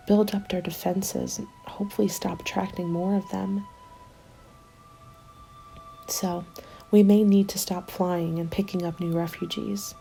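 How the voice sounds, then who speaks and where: A woman playing a hopeful survivor of a zombie apocalypse in an ASMR roleplay, soft-spoken and often slipping into whispers. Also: mid-range voice, vocal fry. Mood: reflective, worried. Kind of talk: ASMR roleplay, intimate storytelling. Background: background music.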